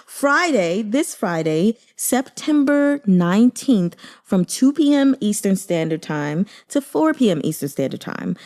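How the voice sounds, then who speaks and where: A woman's voice: medium pitch, a little mellow. A woman chatting casually as the host of a reading channel, conversational and emphatic, speaking her opinions plainly. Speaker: audiobook reader and channel host. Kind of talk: casual reaction chat and announcements.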